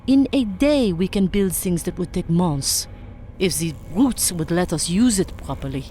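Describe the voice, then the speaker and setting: A woman's voice: fairly low pitch, expressive, a little slow, mellow, slight background noise. Woman playing a haughty French woman scientist. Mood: grudgingly proud. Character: proud, snippy Frenchwoman doctor aboard a warship. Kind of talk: audio drama acting.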